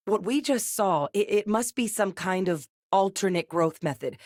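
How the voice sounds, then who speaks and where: A woman playing a seasoned ex-military scientist in a video game, steady and earnest, with a measured, serious delivery. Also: low voice, no echo, clean studio sound. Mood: awed. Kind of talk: video game character voice lines.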